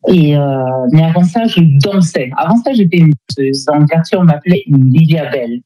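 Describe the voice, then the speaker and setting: Casting: female singer as radio guest, soft-toned mellow voice, hesitant delivery, close dry studio sound. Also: deep voice, brisk, no room sound, highs cut off. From Democratic Republic of the Congo. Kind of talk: conversational radio interview.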